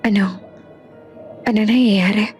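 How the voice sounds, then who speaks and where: A woman's voice: mid-range voice, steady tone, unhurried, darkish tone, soft background music, lightly acted. A woman voicing a proud, grumpy rich male executive with a curt manner in a romance audio story. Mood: dazed, irritated. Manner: curt, haughty.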